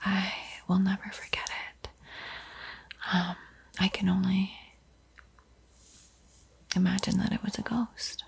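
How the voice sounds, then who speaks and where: A woman hosting an ASMR art tutorial in a soft, breathy whisper, gentle and unhurried, with frequent pauses. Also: medium pitch, little pitch movement. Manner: slow, gentle, pausing often.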